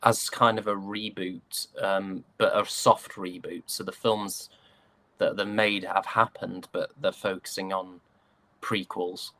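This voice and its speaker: A British man chatting casually as an interview guest, telling anecdotes in a wry, joking, halting way. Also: deep voice, level tone, bright, forceful tone. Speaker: interview guest, stage and voice actor.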